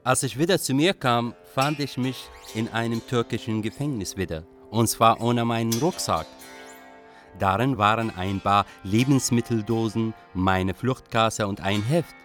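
An actor playing a young Iraqi refugee poet, narrating his flight in a measured, reflective, literary way for a radio drama. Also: lowish voice, fairly bright tone. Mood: grave recounting.

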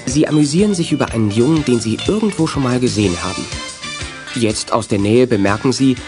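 A man reading scripted story narration for a youth audio drama. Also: medium pitch.

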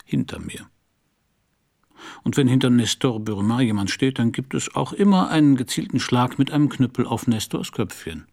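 An actor playing a battered middle-aged private detective, wry, laconic and sardonic, in a noir radio play. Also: male voice, mid-range voice, moderate pace, darkish tone, close to an everyday voice. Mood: wry, weary. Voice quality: husky, gravelly. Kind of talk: noir radio drama acting and first-person narration.

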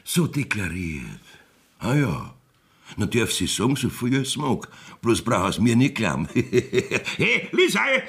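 A man's voice: mid-range voice, huge pitch swings, slow pace, a bit bright, full character voice. An actor playing a wily, evasive village judge in a Bavarian dialect radio play, blustering and wheedling as he rambles. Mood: sly, evasive.

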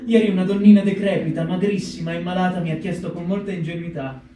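An actor playing a compassionate wartime camp letter writer, in an expressive theatrical reading with dramatic pauses. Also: male voice, high-pitched, lightly acted. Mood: sorrowful. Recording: echoey hall, distant mic, loud guitar. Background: live plucked guitar music.

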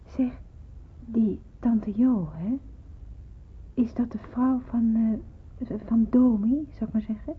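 Radio drama, narrow muffled sound: a wary young woman in wartime hiding, introspective, very breathy. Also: on the high side, slow, long pauses, heavily acted. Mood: hesitant, curious. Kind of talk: radio drama narration and dialogue. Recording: muffled, narrow-band old radio recording.

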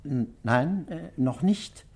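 An actor playing an anxious, ailing middle-aged father in a German radio play, hesitant, evasive and apologetic, with a husky, breathy voice. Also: on the high side, lively intonation, takes it slowly, dark tone, full character voice. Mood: nervous, feeble.

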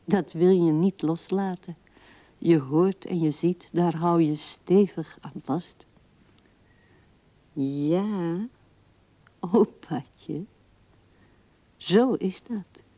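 An actress playing an old widow musing tenderly and haltingly at her husband's grave in a radio drama, her soft voice often dropping to a hush. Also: on the low side, no echo. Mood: musing, wistful. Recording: dull old radio recording.

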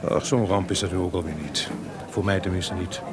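An actor playing a kindly, calm older composer in a radio drama, speaking in a measured way. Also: male voice, sits low, lightly acted. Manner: measured, reassuring. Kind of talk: Dutch radio drama acting. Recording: radio play with crowd murmur.

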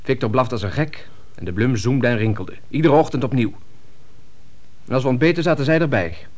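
An actor playing a schoolboy son in a radio play, narrating and answering in a plain, matter-of-fact way. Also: male voice, medium pitch, expressive, brisk, darkish tone, close to an everyday voice. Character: schoolboy son Willem, recounting family life.

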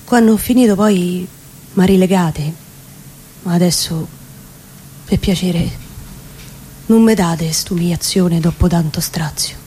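A woman with a Roman accent playing a distraught working-class man confessing in dramatic dialect verse, pleading and halting. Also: lowish voice, warm tone, low-quality recording. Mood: pleading, anguished. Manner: pleading, halting, emotive delivery. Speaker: reciting actress. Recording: live radio performance with background noise.

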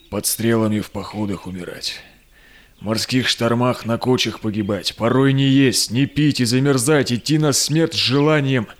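A man's voice: fairly low pitch, a bit bright, slight background noise. An actor playing a weary Cossack explorer and father in an audio drama, speaking in a solemn, declamatory way. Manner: grave, declamatory. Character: weary, dutiful male Cossack explorer returned home, a father. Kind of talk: solemn audio drama acting in verse and old chronicle prose.

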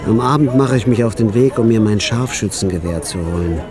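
A man's voice: on the low side, a little fast, a crowd in the background, close to an everyday voice. An actor playing a wry, nervous hitman who narrates his own story dryly in a radio drama. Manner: dry, understated first-person narration.

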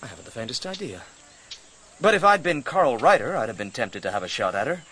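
A man's voice: medium pitch, big pitch swings, fairly quick, pushed and bright, slight background noise. A man playing a blunt, cynical expedition member in a radio drama, talking in a wry, offhand, sardonic way.

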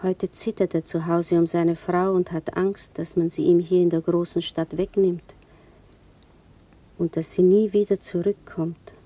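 An actress playing a wistful young woman in a radio drama, musing haltingly to herself. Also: on the low side, level tone, dark tone. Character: dreamy, wistful woman lost in her own thoughts. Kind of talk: radio drama interior monologue. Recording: distant microphone, music behind. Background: music.

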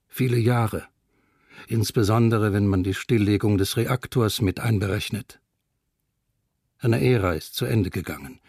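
A man's voice: medium pitch, darkish tone, slightly rough, no echo, studio quality. A man reading a scripted, reflective chronicler's account of life after a disaster for a radio documentary. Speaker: reader of a witness account.

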